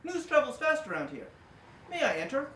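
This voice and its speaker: Someone playing an earnest deadpan masked wrestler hero. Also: high voice, a little fast, a little hiss or hum. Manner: deadpan, overly literal and polite. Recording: roomy echo, distant mic.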